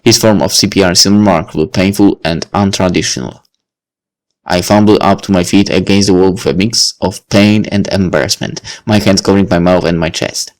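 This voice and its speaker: A man's voice: low voice, steady tone, a little fast, low-quality recording. A man reading a visual novel aloud, with dry, wry asides. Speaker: let's play reader.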